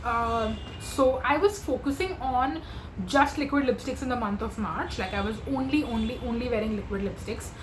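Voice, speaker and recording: A woman, chatting to camera as a beauty vlogger in a gushing, filler-heavy way, her voice going hoarse. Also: fairly high pitch, normal speed, echoey room, slight background noise, poor audio. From India. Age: 20s. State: losing her voice. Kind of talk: casual makeup chat to camera.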